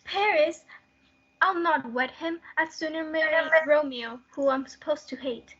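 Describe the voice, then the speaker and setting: A woman's voice: high voice, a little slow, fairly bright tone, highs cut off. A young woman playing Juliet, a desperate, defiant teenage girl in love, in a stage-play reading.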